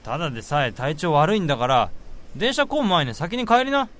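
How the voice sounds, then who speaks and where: A man acting a caring senior office worker in a Japanese radio drama, talking in a brisk, informal way. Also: fairly high pitch, very animated, a bit bright. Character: concerned, casual senior male office worker looking after juniors.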